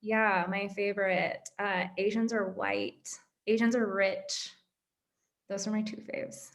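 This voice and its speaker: A woman's voice: mid-range voice, animated, slow pace. Woman co-hosting a discussion webinar. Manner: plain conversational, addresses the audience directly. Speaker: co-host of a student webinar. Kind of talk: presenting a discussion talk.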